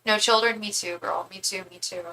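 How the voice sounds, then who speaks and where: A woman's voice: medium pitch, fairly even intonation, a little fast, sharp tone. A woman in her thirties giving a live tarot reading, chatty and rambling, with many asides and restarts. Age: 30s. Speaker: tarot reader.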